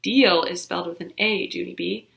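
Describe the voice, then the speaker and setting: A woman voicing a patient, dry-humored male schoolteacher who speaks calmly. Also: fairly low pitch, moderate pace, creaky. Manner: calm, gently wry. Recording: roomy echo.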